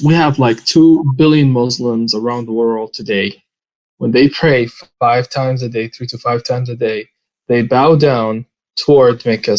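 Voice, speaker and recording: A man's voice: medium pitch, low-quality recording. A man, from Arabia, telling his story as an interview guest with many hesitant fillers. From Saudi Arabia. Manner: frequent hesitant fillers, soft laughs. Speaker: guest, immigrant ministry worker. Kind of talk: personal testimony in interview.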